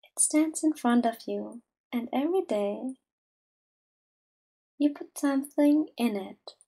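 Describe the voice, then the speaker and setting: Female meditation guide, higher-pitched but mellow, gentle and unhurried, soft to near-whisper. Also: gravelly, slightly rough. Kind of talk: slow soothing guided meditation.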